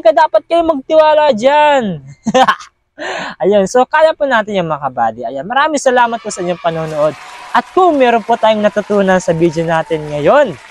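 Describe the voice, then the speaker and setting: A man's voice: high-pitched, no room sound. A man hosts a casual step-by-step tutorial, chatty and encouraging, often repeating himself. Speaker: host of video editing tutorial.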